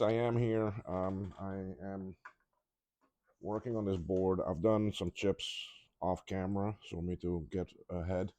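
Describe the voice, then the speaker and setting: A man talking casually through a soldering job at his workbench, halting and rambling, his voice a little congested from a cough. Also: low voice, warm tone, dry recording. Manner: rambling, halting, with many restarts and ums. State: congested, slightly rough from a cough. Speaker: electronics repair hobbyist.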